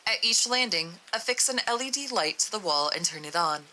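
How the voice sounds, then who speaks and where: Girl reading as a calm bossy doctor in a horror story, formal n detached, really bright voice. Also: mid-range voice, a little fast, slight background noise, rough recording. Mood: calm, insistent. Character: calm, insistent research doctor giving orders.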